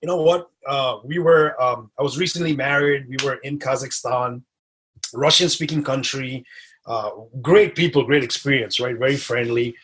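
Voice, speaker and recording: Chatty guest type, man in his 40s from Dubai, husky, easygoing storyteller. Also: medium pitch, normal speed, fairly bright tone, poor audio. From grew up in Dubai. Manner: chatty, tags lines with "right?" and "you know". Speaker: podcast guest. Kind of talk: casual interview storytelling.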